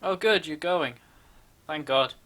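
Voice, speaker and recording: A woman's voice: sits low, takes it slowly, fairly bright tone, a little hiss or hum. A woman giving casual game playthrough commentary as a streamer, with dry, sarcastic banter.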